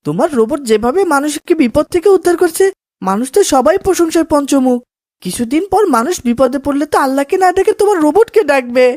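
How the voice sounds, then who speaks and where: A woman's voice: high voice, expressive, a little fast, a little mellow, no room sound, clean studio sound. A woman voicing an eager, curious boy in a children's cartoon, speaking in an earnest, questioning way. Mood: admiring, curious.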